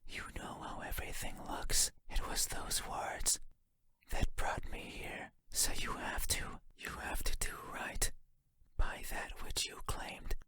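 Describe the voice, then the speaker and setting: Slow, whispering eerie creature acted by a man. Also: close to an everyday voice. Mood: menacing. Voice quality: breathy, unvoiced whisper. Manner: slow, deliberate, halting phrases, intense. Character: eerie, obsessive night creature, wounded and menacing. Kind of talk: horror game monologue.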